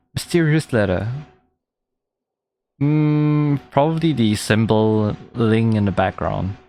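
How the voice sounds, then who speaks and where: A man's voice: lowish voice, darkish tone, slight background noise. A man giving casual, chatty live commentary as he reacts to a story-driven video game. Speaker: streamer playing a story game.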